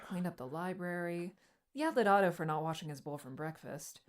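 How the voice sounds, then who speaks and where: A woman's voice: on the low side, a little fast, lightly acted. A woman playing an adventurer's teasing, affectionate partner in an audiobook, with a playful and tender way of talking. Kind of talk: audiobook character dialogue. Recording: music under the voice.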